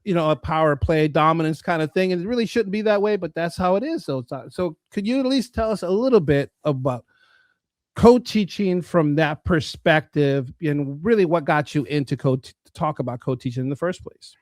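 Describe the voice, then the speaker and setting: A man's voice: on the high side, moderate pace, no room sound. Earnest male interview host, dry close sound. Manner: earnest, restarts mid-sentence. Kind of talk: interview and sponsor talk.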